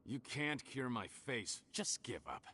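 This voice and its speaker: A man's voice: mid-range voice, sing-song, takes it slowly, sharp tone, a little hiss or hum, heavily acted. A man voicing a scheming, mocking criminal villain in a video game, theatrical and gloating in his delivery. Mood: gloating, sneering. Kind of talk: scripted video game dialogue.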